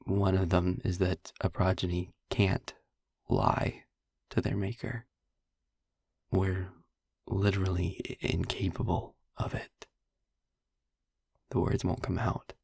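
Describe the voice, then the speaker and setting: A young vampire boyfriend, acted by a man in an intimate audio roleplay, speaking low and very breathy, close to a whisper. He takes his time, gentle and wry, confiding in halting phrases with a gravelly edge. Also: little pitch movement, dry recording, heavily acted. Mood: serious, careful. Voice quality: breathy, husky, often near a whisper. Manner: halting, pausing, wry asides.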